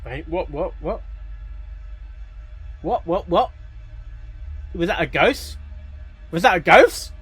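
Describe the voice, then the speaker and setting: A man giving casual, rambling reaction commentary as a host, haltingly, often repeating words and phrases. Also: sits high, expressive, fairly bright tone, slightly rough, soft background music.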